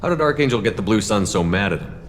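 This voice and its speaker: A tough, blunt military commander, played by a man in a sci-fi video game. The low voice stays terse and controlled, with clear noise behind it. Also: animated, quick pace, close to an everyday voice. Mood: terse, probing. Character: terse, tough male space soldier commander.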